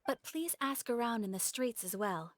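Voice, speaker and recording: Game official: female actor, slightly high voice, dry close sound, precise, dutiful, composed. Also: expressive, normal speed, no echo, close to an everyday voice. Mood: composed, formal. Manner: formal, precise. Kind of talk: video game voice acting.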